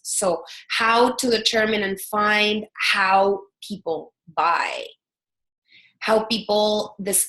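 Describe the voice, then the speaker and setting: A woman's voice: mid-range voice, pushed and bright, echoey room, poor audio. A woman in her thirties from El Salvador with a Salvadoran Spanish accent, giving an earnest webinar presentation as a product strategist, with frequent fillers and restarts.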